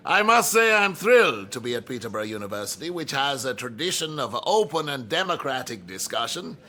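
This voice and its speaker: A man's voice: medium pitch, very animated, moderate pace, pushed and bright. Combative, piercing-voiced male professor. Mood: formal, pleased. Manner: oratorical, emphatic, condescending. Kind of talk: radio drama acting, public lecture.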